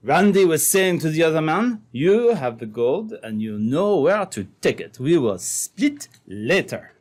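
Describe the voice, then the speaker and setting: Radio drama trapper, male actor: French accent, earnest and insistent, very lively intonation, stilted dramatic delivery. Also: on the high side, unhurried. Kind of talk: old-time radio drama acting.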